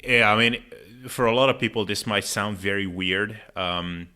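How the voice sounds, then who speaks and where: A man's voice: fairly low pitch, moderate pace, pushed and bright, clean tone. A man hosting a casual interview in an informal, chatty way full of filler words. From Hungary. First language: Hungarian. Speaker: podcast host.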